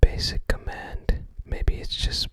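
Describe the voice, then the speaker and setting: An ASMR host whispering softly and breathily close to the microphone, musing casually and tentatively. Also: takes it slowly, no echo. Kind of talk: ASMR, casual commentary while browsing a game guide book.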